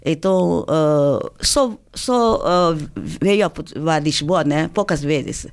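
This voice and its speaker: An older woman, a native Chinese speaker, speaks Portuguese with Chinese and faint Brazilian accent traces, talking in a halting way with many restarts and tag questions as an interviewee. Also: low-pitched, animated, clean tone. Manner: halting, with frequent restarts, "né?" tags and laughter. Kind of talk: conversational interview.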